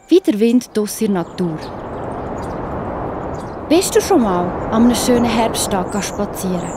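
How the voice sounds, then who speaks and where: A woman's voice: a little high, darkish tone, breathy edge. A woman with a Swiss accent narrating a children's story, speaking directly to the young listener with wonder.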